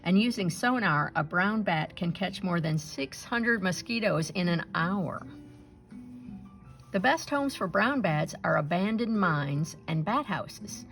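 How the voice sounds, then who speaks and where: A woman reading a children's picture book aloud in an expressive storytelling style, acting out the sounds and dialogue. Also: lowish voice, takes it slowly, gravelly, faint music behind. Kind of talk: animated read-aloud narration for children.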